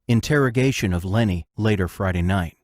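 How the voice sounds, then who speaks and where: Low-voiced male drama narrator. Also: fairly quick. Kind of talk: scripted audio drama narration.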